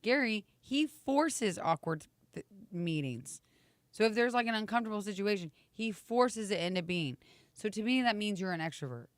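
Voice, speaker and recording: Raspy-voiced Midwestern woman co-host. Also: mid-range voice, normal speed, dry recording. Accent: American Midwestern. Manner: teasing, chatty, animated with guests. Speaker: morning show co-host. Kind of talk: casual chat. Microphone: video call.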